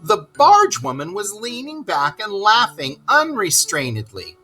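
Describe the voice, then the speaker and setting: Male children's narrator, expressive and deliberate, with a bright, forceful tone and unhurried pace. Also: high-pitched, vocal fry, soft background music. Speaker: storyteller reading aloud to children.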